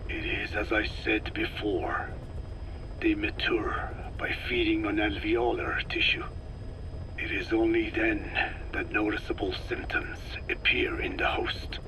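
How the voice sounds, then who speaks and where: A man's voice: lowish voice, flat delivery, in a whisper, close to an everyday voice, low-quality recording. An actor playing a grave, learned old man, a scientist lecturing formally in a video game cutscene. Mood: grave, explanatory. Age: older. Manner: solemn, deliberate, formal lecturing.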